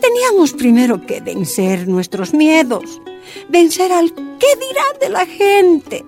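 A Bolivian woman who leads miners' wives, frank and resolute. Her voice sits high and moves freely, yet she takes her time over words. It is a radio drama with soft music underneath. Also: big pitch swings, takes it slowly, full character voice. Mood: weary, reflective.

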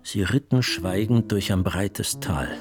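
A man's voice: sits low, unhurried, over loud music. A man narrating a literary radio drama from a script, in the role of storyteller.

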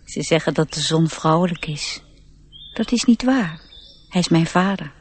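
A woman acting a proud, youthful male nature sprite, self-assured and gently instructive, in a radio play. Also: low-pitched, expressive, moderate pace, a little hiss or hum.

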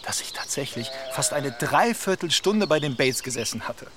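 An actor playing a charming, talkative young gentleman in a radio play, lively and gossipy. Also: medium pitch, normal speed, background noise, lightly acted. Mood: chatty.

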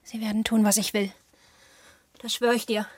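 A woman's voice: a little high, flat delivery, moderate pace, breathy edge, dry recording, clean studio sound. An actress playing a stubborn, delusional postwoman who dreams of stardom, speaking insistently and self-importantly in a radio drama. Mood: cold, defiant. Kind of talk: German radio drama acting.

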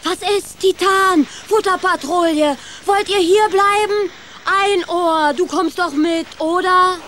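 A resolute mother rat in a children's radio play. Her voice is very high, scolding and commanding, with faint ambient noise behind it. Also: takes it slowly, a bit bright, full character voice. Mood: rallying.

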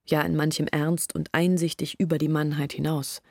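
A breathy confiding village woman. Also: low voice, little pitch movement, normal speed, darkish tone, no echo, studio quality. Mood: earnest, confiding. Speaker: Olga, a village woman telling her family's story. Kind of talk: German radio play monologue.

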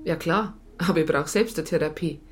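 An actress playing a disillusioned police psychologist in a radio drama, blunt and sardonic. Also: female voice, sits low, brisk, soft background music, close to an everyday voice. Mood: resigned, sardonic. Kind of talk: naturalistic radio drama dialogue.

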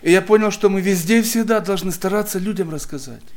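A man preaching and telling a personal story in short phrases, pausing after each so it can be interpreted. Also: high-pitched, darkish tone. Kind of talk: sermon testimony, interpreted line by line.

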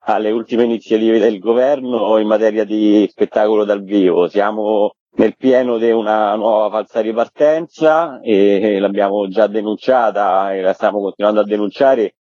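Over a dull, lo-fi connection with a dry sound, a man speaks in a lowish voice. He is an activist guest in a radio interview, laying out political arguments in formal, articulate language. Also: fairly even intonation, moderate pace, hardly any pauses, dark tone, no room sound. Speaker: activist guest representing performing arts workers. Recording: dull, lo-fi band-limited line.

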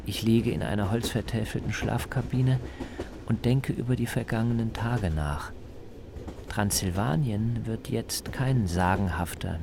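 A man's voice: on the low side, gravelly, background noise. A man reporting and narrating a scripted radio documentary in the first person.

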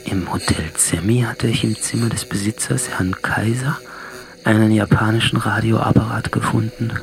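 A man's voice: lowish voice, fairly even intonation, normal speed, vocal fry. A man acting a lonely, observant survivor in a world without people, narrating plainly and reflectively in a literary radio drama. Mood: wondering, uneasy.

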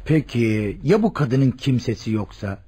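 A methodical senior male doctor in a radio play. His voice is mellow and strongly husky, at a middling pitch. He instructs and questions in a measured, authoritative manner. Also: big pitch swings, exaggerated voice. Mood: calm, probing. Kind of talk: radio drama acting.

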